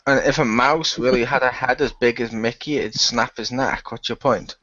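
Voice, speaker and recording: A man's voice: medium pitch, steady tone, moderate pace, pushed and bright, no room sound. A man who plays in an improvised radio play, chatting casually over an online voice call. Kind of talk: casual chat. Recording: dull, dry voice-call audio.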